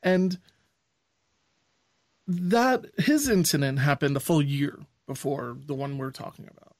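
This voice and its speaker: A man's voice: a little high, measured pace, no echo. A man co-hosting a podcast, speaking in a frank, opinionated and rambling way. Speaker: podcast co-host. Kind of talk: casual chat.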